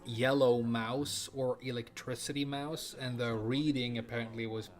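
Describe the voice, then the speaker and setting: A man's voice: mid-range voice, steady tone, moderate pace. A man streaming a video game, chatting casually, reading out viewer questions and swearing now and then as he plays. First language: Japanese. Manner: rambling, swears casually, reads chat questions aloud. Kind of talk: casual live-stream chat while playing.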